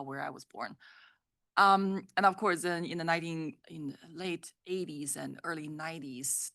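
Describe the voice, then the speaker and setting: Low-voiced woman panelist with wry asides. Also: sharp tone, no room sound, studio quality. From China. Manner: hesitant restarts, wry asides. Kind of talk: panel discussion.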